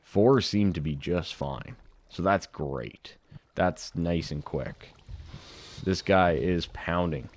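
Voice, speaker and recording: A young man with a deep, low voice talks his viewers through a modded Minecraft playthrough in a relaxed, chatty way. His throat sounds a little dry and scratchy. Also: slow. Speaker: gaming video host. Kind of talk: casual Minecraft modpack commentary.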